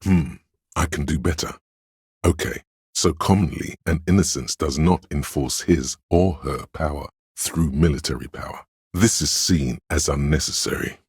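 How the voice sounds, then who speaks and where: A man voicing a video game's know-it-all inner voice of encyclopedic trivia, erudite and measured, with a gravelly, husky, very deep delivery. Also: a little mellow, dry recording, studio quality, heavily acted. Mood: self-assured. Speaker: video game voice actor. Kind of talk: scripted game narration.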